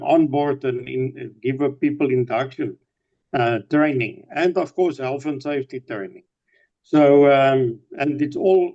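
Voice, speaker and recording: Halting man, dull lo-fi sound. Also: mid-range voice, a little mellow. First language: Afrikaans. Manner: halting, many fillers and restarts. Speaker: participant sharing ideas. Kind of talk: casual discussion.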